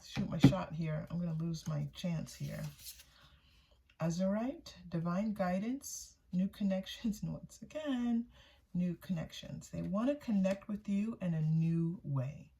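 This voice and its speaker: Mellow, low-pitched woman reading tarot. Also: slight background noise. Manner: repeats phrases for emphasis; voices another person's thoughts in first person. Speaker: tarot reader, channel host. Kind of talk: casual intuitive card reading for viewers.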